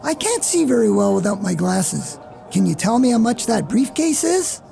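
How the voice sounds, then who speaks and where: A man acting a very gravelly, sentimental old shopkeeper. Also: sits high, big pitch swings, normal speed, mellow, faint music behind, exaggerated voice. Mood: playfully sly. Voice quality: gravelly, creaky. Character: sentimental older male shop owner, genial, proud, frail. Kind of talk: old-time radio theatre acting.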